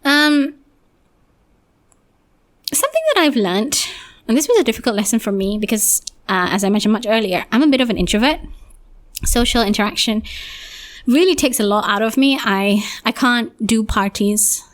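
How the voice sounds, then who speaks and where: A young woman talks through her thoughts on a solo podcast, her voice a touch hoarse. She rambles and restarts often, reflective and unhurried. Her pitch sits slightly above the usual. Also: animated. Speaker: podcast host.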